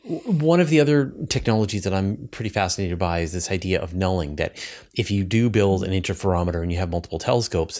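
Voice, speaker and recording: A man's voice: deep voice, darkish tone. A middle-aged man hosting a conversational science interview over a video call, thinking aloud as he builds long questions. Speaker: interview host. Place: indoor room with shelves, video call.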